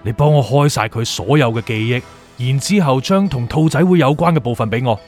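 A man's voice: mid-range voice, a little fast, darkish tone, lightly acted. A man playing a ruthless, pompous soul prosecutor in a radio drama, commanding and threatening, with a Hong Kong Cantonese accent. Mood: imperious, angry. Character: ruthless, domineering male soul prosecutor hunting fugitives. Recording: music bed behind the voice.

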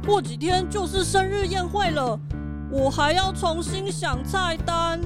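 A woman's voice: extremely high pitch, unhurried, over loud music. A woman playing a bossy, exasperated kitchen chef in a children's audio drama, demanding and complaining.

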